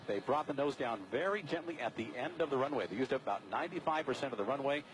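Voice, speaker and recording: An American man reports live as breaking news from a helicopter. He talks quickly in a mid-range voice, with a steady layer of aircraft noise under his commentary. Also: mid-range voice, poor audio. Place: aboard a news helicopter in flight. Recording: steady aircraft noise, outdoor broadcast feed.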